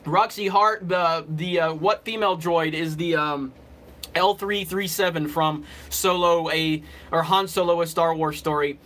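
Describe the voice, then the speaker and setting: Southern guy from alabama, slight accent, kinda high sharp voice, rambling casually to his stream chat. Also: steady tone, unhurried. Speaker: livestream host. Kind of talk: casual live chat with commenters.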